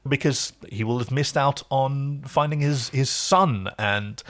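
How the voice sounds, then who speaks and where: A man's voice: medium pitch, measured pace, fairly bright tone. A man co-hosting a casual recap podcast, talking as a wry, enthusiastic fan. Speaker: podcast co-host. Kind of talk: casual episode recap chat.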